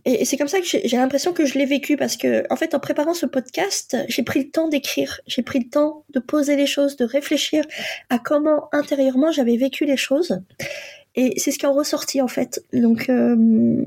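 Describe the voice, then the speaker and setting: A Polish-born woman in her forties who is a coach, telling her own story in a halting, reflective way with frequent pauses. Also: high-pitched, vocal fry, warm tone. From Poland. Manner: halting, many pauses and fillers. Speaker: guest, coach and psychotherapist. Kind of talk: reflective personal testimony.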